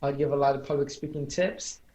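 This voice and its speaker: A man's voice: mid-range voice, steady tone, a little fast, a little mellow, echoey room, poor audio. A man who is a public speaking coach, speaking as an interview guest in an earnest, motivational, speech-like way; English is not his first language. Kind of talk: casual interview chat.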